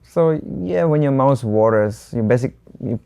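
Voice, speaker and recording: Mellow woman with a slight German accent. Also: a bass voice, unhurried, vocal fry. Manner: relaxed, translates examples into English with hedging fillers. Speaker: co-host learner. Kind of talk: language lesson chat.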